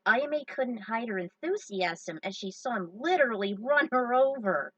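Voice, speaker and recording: A woman's voice: fairly high pitch, expressive, unhurried, fairly bright tone, dry recording, lo-fi sound. Distant-miked woman reading a story aloud. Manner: chatty, jokey asides. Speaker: fan fiction reader. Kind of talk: story narration with casual asides.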